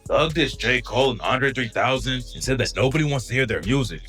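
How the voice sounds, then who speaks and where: A man delivers scripted hip-hop news narration at a middling pitch. His manner is slangy and gossipy, like a storyteller passing on drama, and faint music plays behind him. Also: animated, fairly quick, a bit bright.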